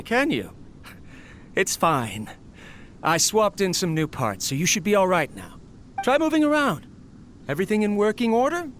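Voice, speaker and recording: A man playing a lonely, weary, gentle male researcher in an anime game, talking tenderly and wistfully. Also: high-pitched, a little hiss or hum. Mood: fond, caring. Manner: soft-hearted, wistful, talks tenderly to his machine. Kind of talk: anime game voice acting, monologue.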